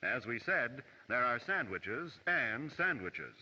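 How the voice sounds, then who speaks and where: A man narrating a 1950s educational film with animated delivery on a noisy, dull old soundtrack. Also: medium pitch, slow pace.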